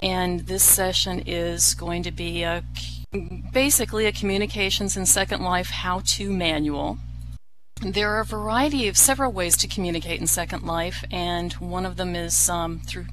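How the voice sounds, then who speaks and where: Woman with a lowish voice running thru a how-to list, bit of background hiss. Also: fairly bright tone, rough recording. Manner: listing, measured. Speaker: presenter. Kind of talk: how-to presentation.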